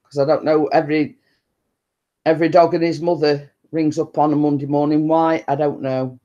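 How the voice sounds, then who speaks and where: A woman with a deep-toned voice, chatting casually and rambling to her viewers on a live stream. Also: level tone, normal speed, mellow, highs cut off. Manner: chatty, meandering, repeats stock phrases and laughs often.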